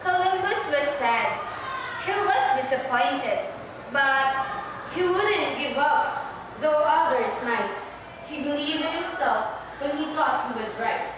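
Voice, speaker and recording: A woman's voice: high voice, expressive, slow. A young adult woman, a student narrator giving scripted storybook narration. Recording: echoey hall from far off, noisy.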